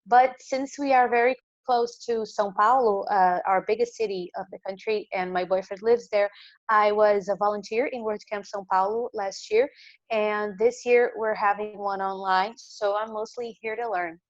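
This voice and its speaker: A woman's voice: mid-range voice, steady tone, normal speed, no echo. Woman from Brazil on dull call audio. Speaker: meetup participant, WordCamp volunteer. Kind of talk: casual self-introduction on a video call.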